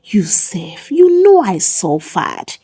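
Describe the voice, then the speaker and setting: A woman's voice: medium pitch, huge pitch swings, takes it slowly, mellow, breathy edge, full character voice. A woman voicing a proud, sassy young seamstress in a folk tale, blunt and mocking. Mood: teasing.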